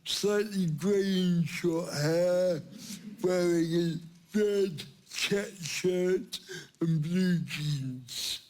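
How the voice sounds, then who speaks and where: A middle-aged man with a fairly high voice introduces himself on a panel. He speaks extremely slowly. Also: a little mellow, poor audio. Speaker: screenwriter and panelist.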